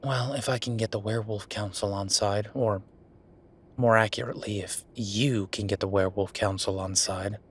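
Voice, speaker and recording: A man performing a roleplay audio drama as an exasperated werewolf official venting to his partner, weary, sardonic and conversational with emphatic pauses. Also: lowish voice, fairly even intonation, a little hiss or hum, close to an everyday voice. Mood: weary, coaxing.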